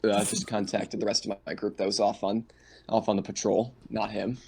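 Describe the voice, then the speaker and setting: A man's voice: lowish voice, little pitch movement, moderate pace, gravelly, darkish tone, slightly rough. A man playing a tabletop roleplaying game, talking casually and sometimes in character. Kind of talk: casual game chat, partly speaking in character.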